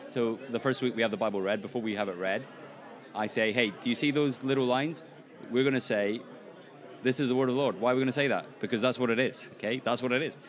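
A man with a Scottish accent, a church planter, explaining in a discussion and asking himself rhetorical questions. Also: mid-range voice. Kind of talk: podcast discussion.

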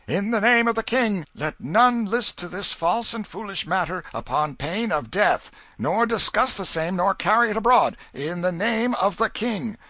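A man as a high, ringing royal herald. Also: animated, normal speed, hardly any pauses, no echo. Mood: solemn, commanding. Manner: loud, formal, declamatory. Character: splendid, solemn royal official making a proclamation. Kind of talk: dramatic audiobook character reading.

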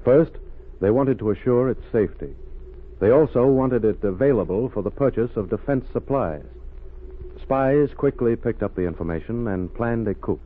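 A man's voice: mid-range voice, lively intonation, normal speed. A man announcing and narrating a scripted radio drama in 1930s style. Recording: muffled phone-like 1930s radio recording.

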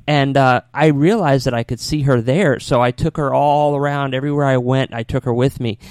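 A man hosts a casual radio interview. His voice is of average pitch and he rambles conversationally through his questions and remarks. The recording is dry and free of echo. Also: fairly quick, a little mellow, smooth.

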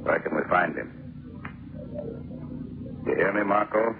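A hard-nosed police lieutenant in an old radio crime show. He sounds very low, stern and relentlessly insistent. The recording is vintage, with a narrow, tinny band. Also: expressive, unhurried, gravelly, background noise, exaggerated voice. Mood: stern, probing. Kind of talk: 1950s radio drama acting.

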